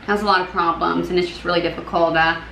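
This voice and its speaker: A woman's voice: fairly low pitch, steady tone, fast, vocal fry, pushed and bright, slight background noise. A young woman playing a polite, wordy middle-aged neighbour making an earnest complaint on a prank call. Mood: grateful, polite. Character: polite, put-upon 48-year-old neighbour mother complaining. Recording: roomy echo with music behind.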